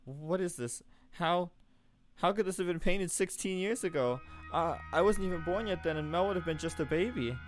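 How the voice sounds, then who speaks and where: A man's voice: mid-range voice, moderate pace, faint music behind, natural voice. A man voicing an anxious fourteen-year-old noble girl who talks in frantic, pleading questions. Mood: panicked.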